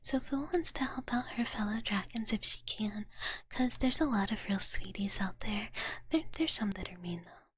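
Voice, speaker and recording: A woman whispering softly and breathily close to the microphone, chatting gently and cutely in an ASMR livestream style. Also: mid-range voice, expressive, normal speed. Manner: cutesy, gentle, refers to herself in third person. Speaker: livestreamer playing a dragon big-sister persona. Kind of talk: ASMR, whispered live chat with viewers.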